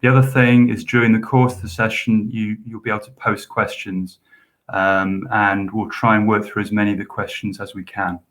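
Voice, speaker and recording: A man's voice: lowish voice, little pitch movement, normal speed, fairly bright tone. A man, a university student support officer, hosting a live Q&A session with frequent ums and restarts. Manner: rambling, hesitant, with many ums and repeated hopes.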